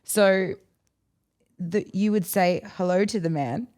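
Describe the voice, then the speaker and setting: A woman's voice: medium pitch, slow, a little mellow. A young Australian woman co-hosting a casual podcast, asking questions and adding dry quips. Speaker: podcast co-host. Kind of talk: casual chat.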